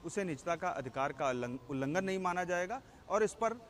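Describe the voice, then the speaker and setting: A man, a senior correspondent giving a deliberate, explanatory live report. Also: sits high, expressive, slight background noise.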